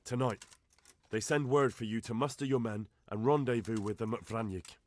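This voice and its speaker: A man's voice: medium pitch, normal speed, natural voice. An actor playing an earnest young man bringing urgent news in a game cutscene, plain-spoken. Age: young adult. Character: earnest young man, a lord's son and messenger. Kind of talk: video game cutscene acting.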